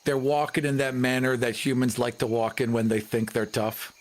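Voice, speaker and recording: A man's voice: medium pitch, fairly even intonation, moderate pace. A man playing a tabletop game, chatting casually and narrating his moves in a wry, profane manner.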